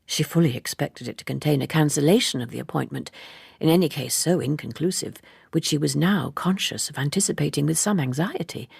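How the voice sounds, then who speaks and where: Gravelly, low-voiced woman narrating. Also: brisk, a little mellow, no room sound, studio quality. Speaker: audiobook narrator. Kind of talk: literary scripted narration.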